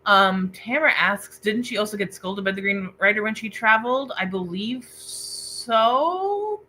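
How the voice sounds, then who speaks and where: A woman's voice: mid-range voice, expressive, measured pace, sharp tone, slight background noise. A woman hosting a casual livestream book discussion over a video call, informally reading out viewer comments. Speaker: book club host. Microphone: internet video call.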